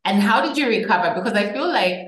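A woman's voice: medium pitch, lively intonation, a bit bright. Woman host asking questions in a roomy space. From Zambia. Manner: measured, thoughtful questioning with fillers. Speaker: interviewer, host. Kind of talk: interview questions. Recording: roomy echo.

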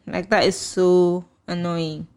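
A young woman with a Ghanaian accent, co-hosting a casual podcast chat, animated and quick to laugh. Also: on the low side, a little hiss or hum. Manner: animated, laughs often, repeats words. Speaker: podcast co-host.

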